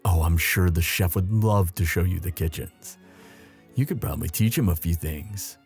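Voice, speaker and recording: A man playing a young prince, a tender and anxious lover, in an intimate, halting romantic audio roleplay. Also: deep voice, darkish tone, husky, soft background music, exaggerated voice. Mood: tender, teasing.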